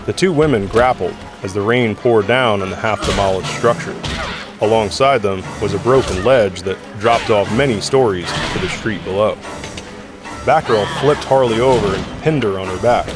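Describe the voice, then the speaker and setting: A man's voice: on the low side, moderate pace. A man reading scripted, dramatic narration for an audio drama. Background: music score.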